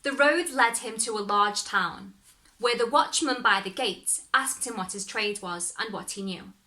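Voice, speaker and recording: Woman narrator, fairy-tale reading, slow measured pace, bright forceful tone, dramatic phrasing. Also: on the high side. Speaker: story reader, performer. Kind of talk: expressive scripted storytelling narration.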